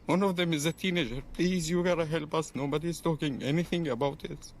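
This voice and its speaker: A man's voice: fairly high pitch, steady tone, brisk. A man from Syria giving a pleading, repetitive personal testimony in an amateur video appeal. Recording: noisy amateur video clip.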